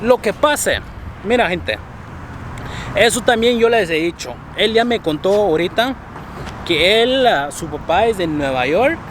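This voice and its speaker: A man's voice: sits high, expressive, a little slow, background noise. A man hosting a casual vlog, mixing Spanish and English and laughing often as he talks. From abroad, came to the US at fifteen. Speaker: vlog host. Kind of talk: casual street interview chat.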